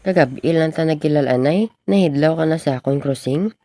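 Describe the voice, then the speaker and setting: A woman's voice: deep voice, brisk, warm tone, no room sound. A young woman playing an earnest, lovestruck schoolboy in an amateur radio drama. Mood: smitten. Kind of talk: amateur radio drama acting in Filipino regional language.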